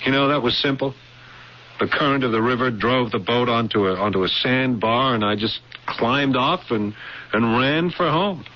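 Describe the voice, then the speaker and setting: A man's voice: mid-range voice, normal speed, natural voice. An actor playing a guilt-ridden younger man in an old-time radio drama, earnest and hesitant, repeating his words as he goes. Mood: haunted, confessional. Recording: dull, hissy old radio recording.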